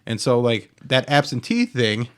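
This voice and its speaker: A man hosting a podcast in casual, rambling conversation, telling things in a halting, informal way. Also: mid-range voice, very animated, clear, resonant tone. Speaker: podcast host. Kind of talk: casual chat, rambling storytelling.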